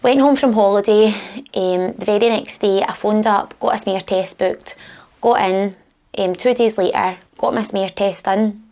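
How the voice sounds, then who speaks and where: A Scottish woman in her mid-thirties tells her story plainly and matter-of-factly. A gravelly fry runs through her voice, and she speaks at a middling pitch. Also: unhurried, darkish tone. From Scotland. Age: 30s. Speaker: mother of four. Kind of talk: personal testimony.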